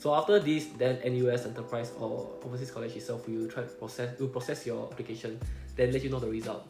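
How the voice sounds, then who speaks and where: A man's voice: medium pitch, fairly even intonation, fast talker, echoey room, over music. A young man with a Malaysian English accent, a Chinese speaker from home, explaining things in casual chat as a podcast co-host, ending phrases with "lah" and "right?".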